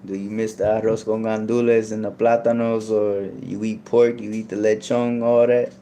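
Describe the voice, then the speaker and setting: A man's voice: fairly low pitch, flat delivery, normal speed, dark tone, slight background noise. A man hosting a casual interview, easygoing and enthusiastic, peppered with slang. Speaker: podcast host.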